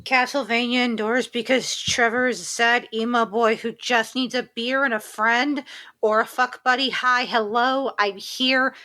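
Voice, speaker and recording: A woman in casual podcast banter. Her voice sits fairly high and has a bright, forceful edge. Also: fairly even intonation, a little slow. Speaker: podcast co-host.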